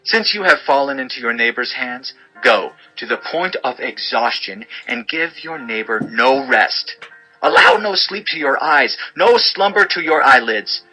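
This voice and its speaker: A man's voice: mid-range voice, animated, measured pace, sharp tone, soft background music, poor audio. A man giving a solemn, fatherly dramatized reading of scripture as narrator, instructing as he goes.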